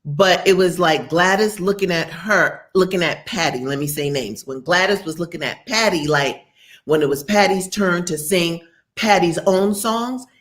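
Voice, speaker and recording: Woman, deep low voice, casual candid podcast chat. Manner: candid, animated storytelling with acted quotes. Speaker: podcast co-host.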